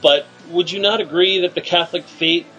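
Bright-voiced radio host teasing a cohost. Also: male voice, sits high, steady tone, lo-fi sound, soft background music. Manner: slow, halting setup with many fillers. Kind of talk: deadpan teasing banter.

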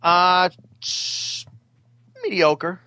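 A man in his thirties, a radio sidekick chatting casually in a halting, stammering way. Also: high-pitched, fairly even intonation, sharp tone, clean tone. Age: 30s. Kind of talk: casual comic chat.

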